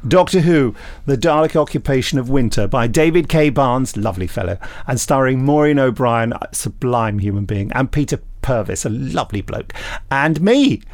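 A man's voice: medium pitch, lively intonation, normal speed, dry recording. A man co-hosting a podcast, chatting with dry, self-mocking wit. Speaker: podcast co-host. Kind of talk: casual podcast banter.

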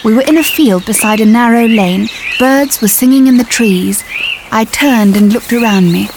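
An actress playing a prim, brisk navy servicewoman, narrating an audio drama in the first person. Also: fairly high pitch, background noise, close to an everyday voice. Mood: calm, wry. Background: clear music and birdsong.

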